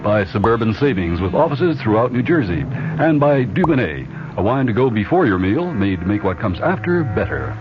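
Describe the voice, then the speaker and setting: A man's voice: on the low side, big pitch swings, moderate pace, music in the background. A man reading scripted sponsor and station announcements as a 1970s radio announcer. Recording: noisy, muffled old radio recording.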